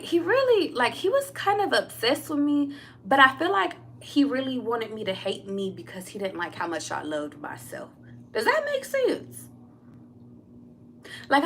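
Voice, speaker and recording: A fairly high-voiced woman whose pitch swings widely as she talks. She is a tarot reader chatting with her viewers, blunt and candid, swearing freely. A faint hum of background noise sits under her voice. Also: a bit bright. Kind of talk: casual personal storytelling to viewers.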